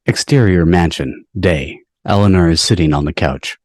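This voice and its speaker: A man's voice: low-pitched, moderate pace, darkish tone. A man reading stage directions as scripted narration for an amateur table read.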